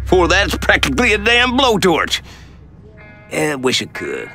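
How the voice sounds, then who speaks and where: A man playing a gruff, suspicious shopkeeper in a sci-fi drama, talking in a blunt, folksy way. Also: fairly high pitch, wildly swooping pitch, measured pace, bright, forceful tone, heavily acted. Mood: casual gruff. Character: gruff, bitter male shopkeeper, suspicious of outsiders. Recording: music under the dialogue.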